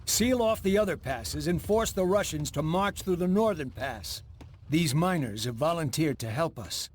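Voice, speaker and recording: A man's voice: a little high, animated, normal speed, slight background noise, close to an everyday voice. A man playing a grim, resolute frontier leader, earnest and determined, in a video game cutscene. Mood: grimly determined.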